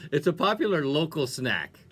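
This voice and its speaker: A man presenting on music radio as a DJ, with an animated, folksy, playful delivery and chatty talk breaks. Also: a little high, moderate pace, husky. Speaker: radio DJ and show host.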